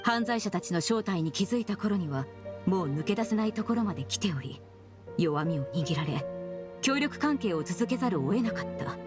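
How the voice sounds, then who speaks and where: A woman playing a composed, polite young investigator in a video game, formal, measured and analytical, used to giving orders. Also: mid-range voice, lively intonation, over music, natural voice. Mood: analytical, grave. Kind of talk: Japanese game voice acting.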